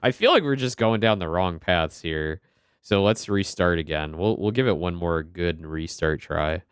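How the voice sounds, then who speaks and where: A man's voice: deep voice, normal speed, clean tone, dry recording, studio quality. A man streaming a game with laid-back, joking commentary, swearing and repeating the game's lines. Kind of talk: casual gaming commentary.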